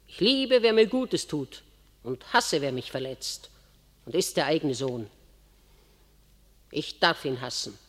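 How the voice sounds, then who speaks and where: A woman's voice: low-pitched, animated, takes it slowly, pauses often, heavily acted. A woman acting a proud, vengeful queen mother in a classical verse radio drama, declamatory and scornful. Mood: passionate. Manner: declamatory, theatrical. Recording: long hall reverb.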